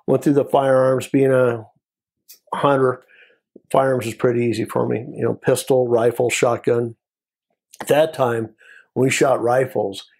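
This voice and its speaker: A man's voice: mid-range voice, darkish tone. An American man in his sixties, telling stories casually as an interview guest in short, conversational phrases. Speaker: guest, former Border Patrol agent.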